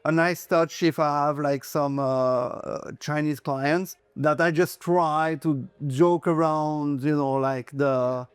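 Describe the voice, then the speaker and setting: A man's voice: fairly high pitch, steady tone, slow pace, hardly any pauses, clear, resonant tone, no echo. A man from French-speaking Switzerland, a native French speaker with a Swiss French accent, chatting casually as an interview guest. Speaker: interview guest, real estate agent.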